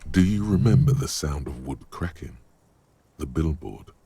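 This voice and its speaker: A man voicing a brooding inner narrator of a detective's mind in a scripted game, gravelly and husky, measured and ominous. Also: a bass voice, animated, mellow, no room sound, exaggerated voice.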